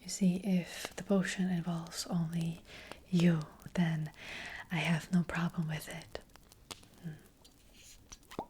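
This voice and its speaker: A woman acts out a gentle, careful witch who tells fortunes and sells potions in an ASMR roleplay. The voice is a breathy whisper, very slow and mellow, with hesitant, reassuring phrasing. It sits close to the mic over faint noise and soft clicks. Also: lowish voice, little pitch movement. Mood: gentle, cautioning. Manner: hesitant, unhurried, softly reassuring.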